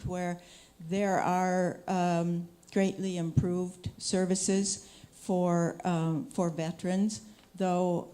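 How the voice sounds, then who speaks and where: An older woman, a journalist on a panel, answering a question frankly and in a measured way, with many pauses. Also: on the low side, mellow. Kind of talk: answering questions at a public talk.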